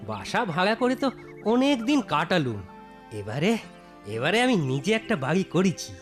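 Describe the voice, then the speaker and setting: Animated, ambitious young village husband. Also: male voice, sits high, normal speed, faint music behind. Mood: determined. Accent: Bengali. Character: ambitious poor young village husband, brisk, stubborn dreamer. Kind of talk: cartoon voice acting.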